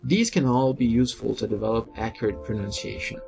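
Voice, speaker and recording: Man reading an article over soft music. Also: medium pitch, fast, gravelly. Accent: Brazilian Portuguese. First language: Portuguese. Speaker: language learning video host. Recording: faint music behind.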